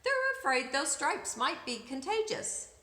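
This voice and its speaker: A male school principal from a storybook, acted by a woman reader, is apologetic but firm. He speaks formally in a bright, high-pitched voice. The room is echoey. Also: big pitch swings, measured pace, exaggerated voice.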